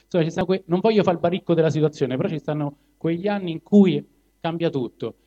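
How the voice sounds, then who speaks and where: A man with a Roman Italian accent talking colloquially to a live audience at a poetry reading, halting and digressing as he goes. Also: fairly high pitch, mellow. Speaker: poetry reader. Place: live evening event in a cinema venue. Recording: dull lo-fi live recording.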